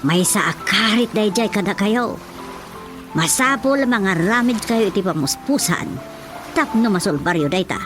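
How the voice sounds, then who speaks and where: A woman's voice: mid-range voice, lively intonation, a little slow, natural voice. A woman with a Filipino accent playing a nagging, gossipy chairman's wife in a radio drama, pressing and scolding. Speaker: actor. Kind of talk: Ilocano radio drama comedy acting. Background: music.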